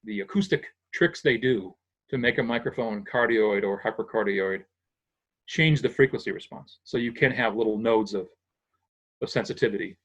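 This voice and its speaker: A man's voice: mid-range voice, expressive, moderate pace, a bit bright. An older man from Massachusetts, a sound engineer guest, patiently explaining technical things in a casual interview, thinking aloud with small restarts. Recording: dull lo-fi audio.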